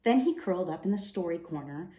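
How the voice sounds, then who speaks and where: A woman reading a children's storybook aloud with expressive, dramatic delivery. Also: on the low side, fairly quick. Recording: roomy, echoing room sound.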